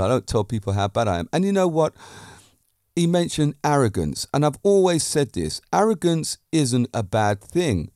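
A man's voice: medium pitch, a little mellow, no room sound, clean studio sound. A man hosting a podcast, giving a self-assured motivational monologue full of emphatic points and rhetorical questions. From Britain. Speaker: podcast host.

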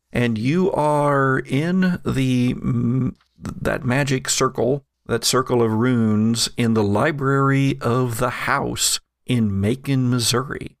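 A man at a middle pitch, his voice carrying a gravelly texture, running a gothic horror game for his players. He describes scenes at a measured storyteller's pace, then turns more hesitant and searching when he addresses the characters directly. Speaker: game master. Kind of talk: narrating a tabletop horror game.

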